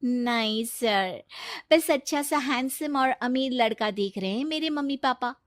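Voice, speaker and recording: A woman's voice: high voice, measured pace, no echo, clean studio sound. A woman voicing a coy, polite young aspiring model in a cartoon story. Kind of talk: Hindi cartoon story dialogue.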